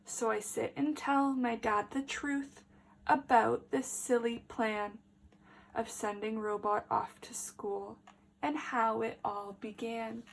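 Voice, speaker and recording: A woman with a high voice reads a children's storybook as a teacher would. Her delivery is slow and emphatic, shaped clearly for young listeners and addressed straight to them. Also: fairly even intonation, rough recording. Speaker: teacher reading a picture book to children. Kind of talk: expressive storybook read-aloud with questions for young children.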